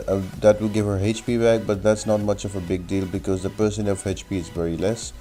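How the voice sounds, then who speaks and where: A man's voice: fairly low pitch, warm tone. A man giving an instructional, step-by-step gameplay tutorial commentary as the host of a game guide.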